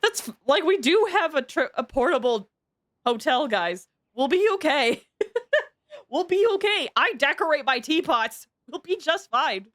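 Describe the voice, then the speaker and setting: A woman's voice: high-pitched, big pitch swings, unhurried, a bit bright. A woman streaming a video game, giving chatty, playful, casual live commentary. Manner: chatty, playful, reads game text aloud. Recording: game music behind the voice. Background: game music.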